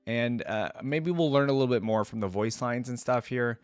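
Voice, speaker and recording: A man's voice: mid-range voice, moderate pace, no room sound, faint music behind. A man hosting a reaction video, reading aloud and commenting in an informal, chatty way.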